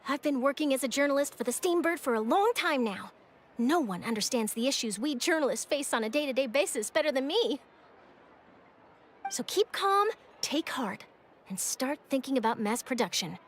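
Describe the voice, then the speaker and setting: A woman's voice: high-pitched, expressive, moderate pace, slight background noise. A woman voicing an eager, earnest and articulate young female journalist in a video game. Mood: reassuring. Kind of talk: English video game voice acting.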